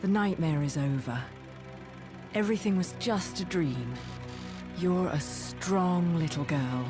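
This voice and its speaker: A gentle, protective witch mother from a video game cutscene. She takes her time with every phrase in a fairly low register, tender and reassuring. Music plays clearly behind her. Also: slow pace.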